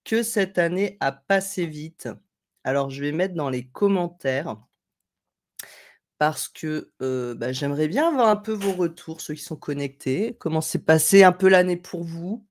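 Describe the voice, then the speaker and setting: A woman with a low voice chats through a live stream from a room at home, picking up viewers' comments. Her talk wanders and is full of small fillers. She sounds slightly stuffed up from a cold. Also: expressive, unhurried. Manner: chatty, digressive, friendly, thinking aloud with fillers. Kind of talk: casual live tutorial, reading chat comments. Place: home room at a desk, indoors.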